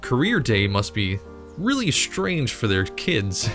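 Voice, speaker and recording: A man's voice: medium pitch, wildly swooping pitch, slow, a bit bright, faint music behind. A man hosting a video channel, chatting casually in a rambling, jokingly incredulous way with frequent self-interruptions. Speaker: YouTube cartoon channel host.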